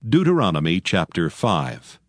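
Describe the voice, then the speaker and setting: A man narrating scripture in archaic English, reading formally and in a measured storytelling way. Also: low voice, huge pitch swings, no room sound, studio quality.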